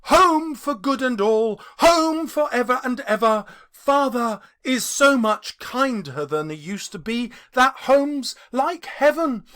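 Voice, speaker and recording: A man's voice: very high-pitched, animated, takes it slowly, hardly any pauses, pushed and bright, pitched above the performer's own voice, heavily acted. A man voicing an eager, loving little girl in a storybook reading, full of childlike glee. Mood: gleeful.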